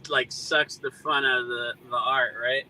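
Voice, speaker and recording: Bright-voiced easygoing American man. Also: medium pitch, steady tone, measured pace, poor audio. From United States. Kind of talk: casual chat.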